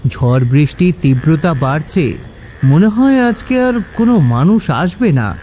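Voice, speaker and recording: A man's voice: fairly high pitch, big pitch swings, a little slow, dark tone, slight background noise. A man playing an impatient ferry passenger in a ghost story cartoon, polite but urging.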